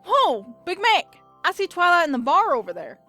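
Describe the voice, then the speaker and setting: A woman's voice: extremely high pitch, wildly swooping pitch, moderate pace, pushed and bright, faint music behind, heavily acted. A woman voicing a plain-spoken, caring young farm pony, earnest and folksy, in a dramatic reading. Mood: startled. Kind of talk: dramatic reading of fan fiction.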